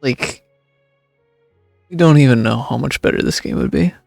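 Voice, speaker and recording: A man's voice: medium pitch, normal speed, gravelly, darkish tone, no room sound, studio quality. A man streaming a video game, giving casual reaction commentary. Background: game music.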